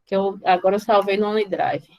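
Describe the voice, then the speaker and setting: A woman's voice: mid-range voice, fairly even intonation, brisk, a little mellow. A woman with a Brazilian accent teaching informally in a live online class, often stopping to question and check on her students. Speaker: mathematics teacher.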